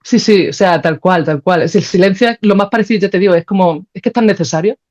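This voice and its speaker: A woman's voice: fairly low pitch, fast, darkish tone. Emphatic woman, slightly muffled recording. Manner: emphatic, repeats phrases for stress. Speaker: podcast guest, sound creator. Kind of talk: casual, opinionated conversation.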